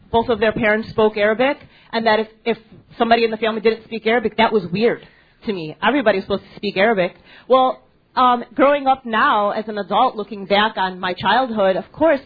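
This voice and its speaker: A young American woman speaking to a student audience at a panel event, asking them questions and pausing for ums and restarts. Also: medium pitch, husky. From United States. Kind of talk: public talk with audience interaction. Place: library event space. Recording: tinny phone-like recording.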